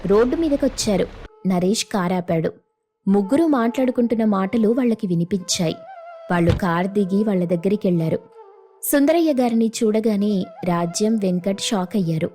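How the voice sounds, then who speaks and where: A woman's voice: medium pitch, lively intonation, moderate pace, dark tone, faint music behind. A woman narrating a scripted moral story as a storyteller.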